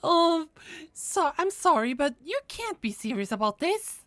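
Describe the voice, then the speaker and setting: A woman acting in a fantasy audio roleplay as an expressive goddess, sensible and playfully bossy. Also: high voice, unhurried, no room sound, studio quality, heavily acted. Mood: incredulous.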